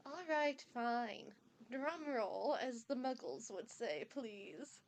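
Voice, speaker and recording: A woman playing a formal, stern older female professor in an amateur audio drama, announcing in a measured way over a noisy home recording. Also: fairly high pitch, lively intonation, gravelly, full character voice.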